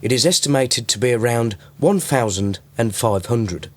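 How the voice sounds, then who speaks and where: A man's voice: mid-range voice, animated, moderate pace. A man reading scripted narration as a reporter for a radio podcast.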